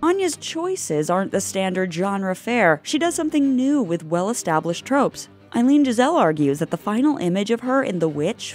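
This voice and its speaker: A woman giving scripted narration for a video essay, in a measured way. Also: medium pitch, darkish tone.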